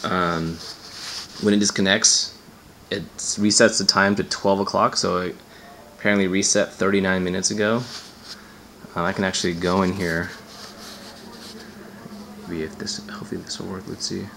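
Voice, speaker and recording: Low gravelly-voiced man, offhand and sardonic. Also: little pitch movement, moderate pace, long pauses, bright, forceful tone, a little hiss or hum. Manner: sardonic, exasperated, offhand, swears. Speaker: gadget reviewer. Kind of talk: casual hands-on product demo.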